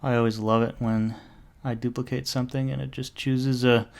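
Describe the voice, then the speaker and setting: Male tutorial narrator, heavy vocal fry, offhand and jokey, wanders off topic, mid-range pitch. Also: little pitch movement, normal speed. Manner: rambling, jokey self-talk with mild swearing. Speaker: software tutorial maker. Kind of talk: casual screen-recorded tutorial.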